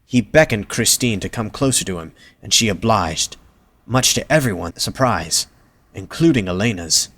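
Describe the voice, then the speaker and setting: A man's voice: fairly low pitch, expressive, moderate pace, a bit bright. A man reading scripted narration for an audio drama as its narrator.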